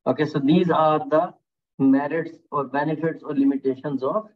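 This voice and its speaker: A male safety instructor lectures his class over a video call and keeps things encouraging, echoing his students' answers back to them. His voice sits a little high for a man. The audio is thin and tinny, like a phone line. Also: fairly even intonation, normal speed. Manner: encouraging, repeats students' answers, frequent "okay" and "very nice". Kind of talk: online lecture with class questions. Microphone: computer mic on a video call.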